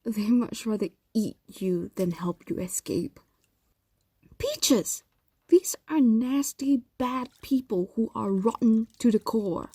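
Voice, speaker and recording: A woman voicing a possessive, evasive fox demon in an intimate audio roleplay, speaking softly and often dropping into whispers. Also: fairly high pitch, animated, slow pace, slightly rough, dry recording, heavily acted. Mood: defensive, stern. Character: possessive, evasive female fox demon doting on captive human.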